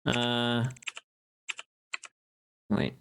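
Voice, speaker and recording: A woman's voice: extremely low pitch, level tone, extremely slow, creaky, smooth. A woman livestreamer chatting casually in a playful, teasing, informal way, often thinking aloud and trailing off.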